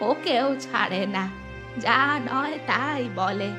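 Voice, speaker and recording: A woman voicing an aggrieved, resigned old village grandmother in a cartoon, complaining slowly about her lot. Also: a little high, animated, music in the background. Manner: slow, complaining, fatalistic. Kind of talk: cartoon voice acting.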